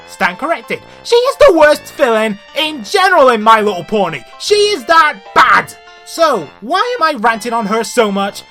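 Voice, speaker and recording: Animated, bright-voiced woman ranting through a review. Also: on the high side. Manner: ranting, exasperated, blunt and sweary. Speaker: host of a cartoon review show. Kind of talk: scripted comedic review narration.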